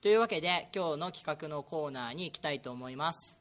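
A young man co-hosting an amateur show with casual, teasing banter and quick retorts. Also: on the high side, animated, fairly bright tone. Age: young adult. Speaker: co-host of an amateur talk show. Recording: music under the voice.

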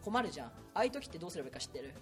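A man's voice: a little high, sing-song, rapid-fire, soft background music. A young man hosting a casual podcast, chatting and joking in an informal way. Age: young adult. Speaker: podcast host.

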